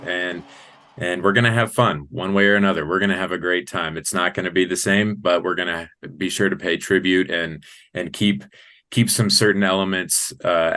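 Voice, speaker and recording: A man's voice: low voice, sharp tone. A man in his thirties from Colorado with an American accent, talking enthusiastically and earnestly as an announcer being interviewed. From Colorado, United States. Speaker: sports public-address announcer, interview guest. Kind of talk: conversational interview.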